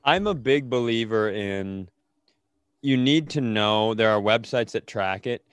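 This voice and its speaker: A man co-hosting a comedy podcast, telling casual funny stories in an animated, sweary way and acting out the dialogue. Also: lowish voice, measured pace, clear, resonant tone, dry recording.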